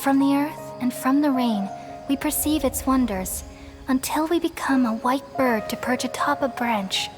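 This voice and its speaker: An ancient, self-sacrificing goddess of wisdom from a fantasy game. Her voice sits fairly high and moves through solemn, poetic lines with serene, measured care. Soft music plays behind her. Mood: serene, wistful. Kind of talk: scripted dramatic game voice acting.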